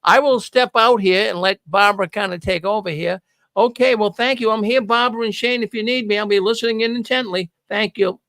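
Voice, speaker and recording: A man's voice: high voice, fairly quick, a bit bright, no room sound. An older man with a Boston accent hosting a talk show in a chatty, folksy way, speaking into a studio mic. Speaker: host. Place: studio with neon signs. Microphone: broadcast mic on boom arm.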